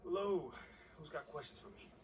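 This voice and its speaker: An actor playing a confident, easygoing young pro basketball player in a video game cutscene, with laid-back, slangy delivery. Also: male voice, a little high, lively intonation, normal speed, recorded from a distance, noisy background, close to an everyday voice. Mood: composed, confident. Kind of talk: naturalistic game cutscene dialogue.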